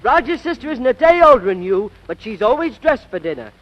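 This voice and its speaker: An actor playing an excitable, status-conscious young college man, boyish and easily flustered, in 1940s radio style. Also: very high voice, a little fast. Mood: exasperated. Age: young adult. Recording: dull old radio broadcast recording.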